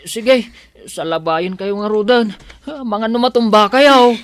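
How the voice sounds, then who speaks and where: A woman's voice: medium pitch, slow pace, close to an everyday voice. A woman voicing a simple, kindly, hesitant farmhand man with an Ilocano accent in a radio drama. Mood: alarmed. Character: simple, diligent, kindly rural farmhand man, eager, easily flustered.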